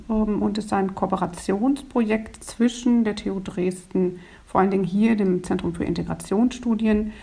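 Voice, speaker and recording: A woman who leads a project, explaining it in a measured, formal way. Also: medium pitch, creaky, mellow, slightly rough. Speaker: project leader.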